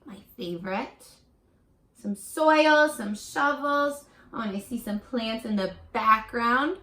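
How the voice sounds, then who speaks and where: A woman reads a children's picture book aloud in a slow, very bright voice, like a teacher speaking straight to her pupils. She is expressive and encouraging, stopping for little questions and asides. The room adds a noticeable echo. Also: on the high side. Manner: encouraging, expressive, talking directly to young children. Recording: roomy echo.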